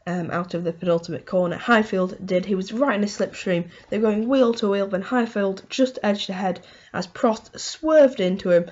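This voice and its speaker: A woman with a hoarse voice from a cold, hosting a casual motorsport race review and rambling through it with lots of "um" and "you know". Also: mid-range voice, a little mellow, highs cut off. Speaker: motorsport video host.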